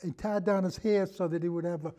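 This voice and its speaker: A man in a casual film discussion, telling anecdotes in a halting, rambling way and often repeating his phrases. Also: sits high, fairly even intonation, warm tone. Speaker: podcast guest, film discussion.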